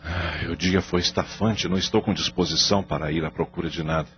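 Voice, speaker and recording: Low, agitated, remorseful husband, played by a man. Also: steady tone, a little fast, dull audio, slight background noise, close to an everyday voice. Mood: weary, brooding. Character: troubled, remorseful husband and father caught in an affair. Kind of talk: audio soap opera acting.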